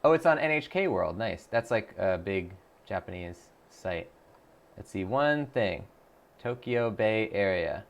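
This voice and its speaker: A man's voice: fairly low pitch, animated, measured pace, vocal fry, muffled recording. A man on a live stream, chatting casually, thinking aloud with lots of fillers and restarts, and reading viewer messages aloud to answer them. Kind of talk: casual live-stream chat while practicing a language app.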